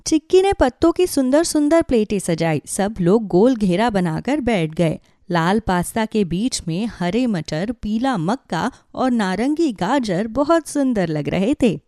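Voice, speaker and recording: A woman's voice: medium pitch, very animated, measured pace, hardly any pauses, dark tone, dry recording, clean studio sound. A woman narrating a scripted children's story.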